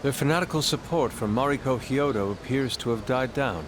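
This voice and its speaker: An actor playing a composed young man in an English game dub, solemn and formal in a stately, deadpan way. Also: mid-range voice, brisk, background noise, close to an everyday voice. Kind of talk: English anime-style game dub acting. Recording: loud music under the voice.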